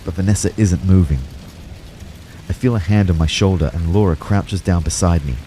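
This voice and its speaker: A man's voice: low-pitched, normal speed, a little hiss or hum, lightly acted. A young man playing an anxious narrator of his own out-of-body ordeal in an audio drama. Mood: tense, reflective. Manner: expressive, shifting from hushed narration to urgent pleading. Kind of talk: first-person audio drama narration with acted dialogue.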